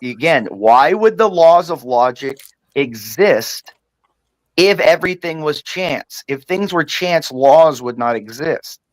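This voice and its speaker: A man's voice: a little high, lively intonation, takes it slowly, dry recording, poor audio. A man calling into a panel show, debating in a condescending, lecturing way. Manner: condescending, keeps repeating "ma'am" and "sir". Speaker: caller on a panel. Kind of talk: heated debate.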